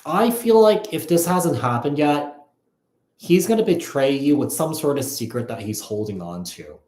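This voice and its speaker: Halting male tarot reader, echoey room. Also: on the high side, expressive, a little mellow. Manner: halting and repetitive, thinking aloud. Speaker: tarot reader hosting live readings. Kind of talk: casual live tarot reading, spoken off the cuff. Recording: echoey, roomy sound.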